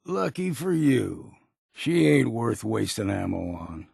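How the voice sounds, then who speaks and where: A gruff old hunter from a fishing harbor in a video game. His voice is deeply gravelly and husky, with lively swings in pitch. He talks in a folksy, blunt, stubborn way, recorded dry and close. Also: slow pace, no room sound, exaggerated voice. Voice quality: gravelly, rasping. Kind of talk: video game character acting.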